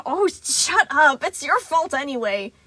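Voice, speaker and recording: A woman's voice: very animated, takes it slowly, no room sound, exaggerated voice. A woman voicing a frantic, exhausted male sun-faced daycare robot who shrieks panicky scoldings about tidiness. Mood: snappish. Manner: shrill, panicky yelling.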